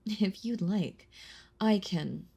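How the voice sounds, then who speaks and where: A woman voicing a possessive, centuries-old vampire in an intimate audio roleplay, husky and breathy, teasing and condescending, slipping into whispers. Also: mid-range voice, full character voice. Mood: mocking, confident. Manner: slow, condescending, teasing, softly chuckling. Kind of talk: intimate audio roleplay monologue addressed to listener.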